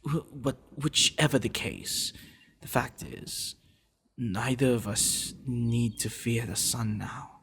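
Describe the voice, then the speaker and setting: A man playing a doting, possessive vampire lover in an ASMR roleplay, talking tenderly and teasingly in a husky, gravelly voice. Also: mid-range voice, slow pace, fairly bright tone, heavily acted. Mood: earnest wonder. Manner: tender, soothing, teasing, intimate. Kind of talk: ASMR boyfriend roleplay acting.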